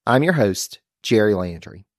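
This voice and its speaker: A man's voice: lowish voice, expressive, moderate pace, a little mellow, slight background noise. A man hosting a history podcast in casual chat, upbeat and laughing often, on a close microphone. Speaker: podcast host.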